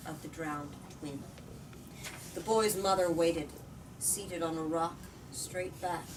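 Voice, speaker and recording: A woman reading a storybook aloud in an expressive, deliberate way, as the host of a book discussion. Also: lowish voice. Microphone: far from the speaker. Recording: distant mic, noisy room.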